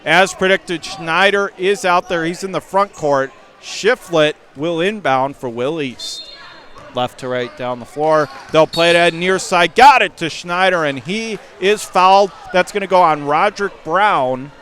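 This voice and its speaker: High-pitched man calling basketball play-by-play. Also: unhurried, a bit bright, slight background noise. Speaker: play-by-play sports announcer. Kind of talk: live high school basketball radio commentary. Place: inside a school gym during a game.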